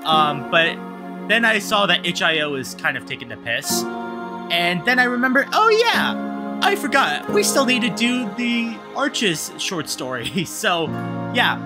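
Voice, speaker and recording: An upbeat, excitable man hosting a visual novel playthrough, talking to his viewers as he goes. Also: high-pitched. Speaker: host of a video game let's-play channel. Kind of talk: enthusiastic visual novel playthrough commentary with dramatic read-aloud narration. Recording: music playing behind the voice.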